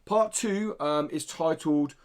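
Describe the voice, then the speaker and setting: A man's voice: fairly high pitch, slow. A man hosting a podcast in a studio, reading out indie game news in an informal, explanatory way. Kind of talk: casual podcast news chat. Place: indoor studio.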